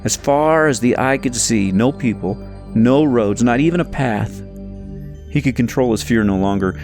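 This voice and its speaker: A man narrating a story from a script in an expressive, suspenseful, dramatic way. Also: mid-range voice, moderate pace, faint music behind. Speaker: audiobook narrator reading own novel.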